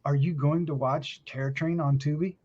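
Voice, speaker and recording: A man's voice: fairly high pitch, steady tone, normal speed, darkish tone. A man hosting a horror news podcast, presenting film news in a casual, jokey way. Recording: dull, lo-fi voice track.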